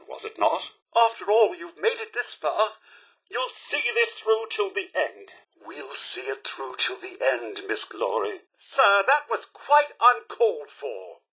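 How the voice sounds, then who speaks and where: An actor playing a prim, loyal robot butler in a video game, with a British accent, a fussy formal manner and a raspy voice. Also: male voice, fairly high pitch, sharp tone, heavily acted. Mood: earnest, encouraging, then indignant, scandalized. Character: prim, loyal male robot butler, polite and easily scandalized. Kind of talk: video game character voice lines.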